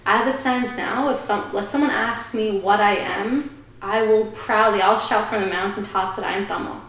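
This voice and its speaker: A woman's voice: mid-range voice, fairly even intonation, moderate pace, a bit bright. Earnest woman in a very echoey room. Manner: earnest, reflective opinions. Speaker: podcast guest. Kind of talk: podcast conversation.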